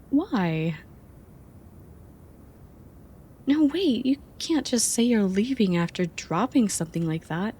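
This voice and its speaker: A young woman playing an affectionate, teasing friend in an intimate ASMR roleplay, speaking softly and expressively. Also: mid-range voice, a little hiss or hum, close to an everyday voice. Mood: earnest. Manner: soft, playful, expressive.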